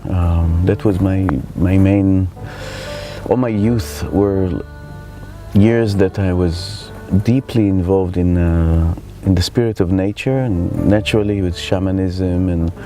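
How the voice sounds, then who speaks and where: A middle-aged man from Israel with an Israeli accent, telling his life story in a reflective, halting way as he searches for words. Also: low voice, over music. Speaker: spiritual teacher. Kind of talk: personal life story told to camera.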